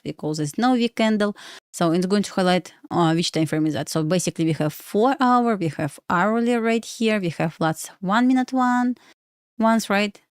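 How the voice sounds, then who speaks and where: A woman's voice: fairly low pitch, clean tone, no room sound. Young Belarus-born female streamer, English, casual and chatty, dry voice. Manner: chatty, addresses viewers as guys, frequent uh fillers, non-native phrasing. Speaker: livestream host and day trader. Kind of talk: casual livestream chat with viewers.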